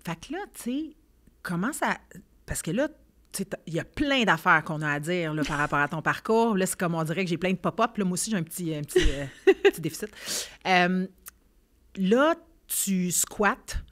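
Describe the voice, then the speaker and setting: Soft-spoken Quebec French hostess, low voice. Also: female voice, expressive, moderate pace. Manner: gentle, supportive, attentive prompting. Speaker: podcast host. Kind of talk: interview conversation.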